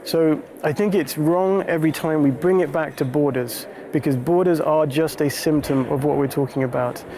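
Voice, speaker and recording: A man making a deliberate, explanatory argument as a panelist in a debate. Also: fairly high pitch, mellow, slight background noise, low-quality recording.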